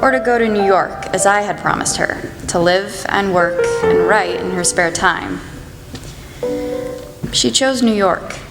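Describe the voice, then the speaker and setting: A teenage woman student playing a mother who narrates a radio play in a measured, storytelling way. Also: mid-range voice, lightly acted. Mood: reflective. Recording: echoey hall, loud music behind.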